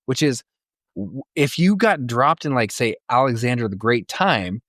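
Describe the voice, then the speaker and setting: A man co-hosting a comedy podcast, chatting casually in an animated, profane, argumentative way. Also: medium pitch, unhurried, no echo, studio quality. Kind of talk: casual group banter.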